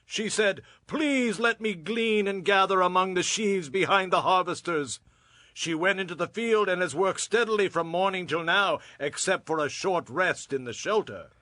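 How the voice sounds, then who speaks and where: A man's voice: high voice, normal speed, bright, forceful tone, no room sound, clean studio sound. An actor playing a respectful, dutiful foreman of harvesters in a dramatized audio Bible.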